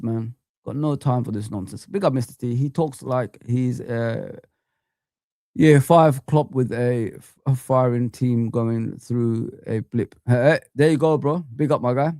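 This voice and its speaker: A man's voice: mid-range voice, darkish tone, dry recording, studio quality. A young man hosting a live fan chat stream, ranting heatedly and repeating himself.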